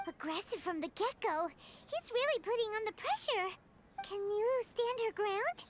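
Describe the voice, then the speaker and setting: A woman voicing a tiny, excitable childlike fairy companion in a video game, with a squeaky voice. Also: normal speed, heavy background noise. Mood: worried. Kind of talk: video game character acting.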